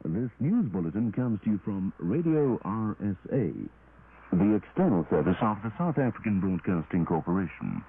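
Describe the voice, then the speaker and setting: A man reading a formal scripted news bulletin with measured enunciation, on a 1980s shortwave radio broadcast. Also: lowish voice, very animated. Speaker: radio newsreader. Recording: tinny, noisy 1980s shortwave radio recording.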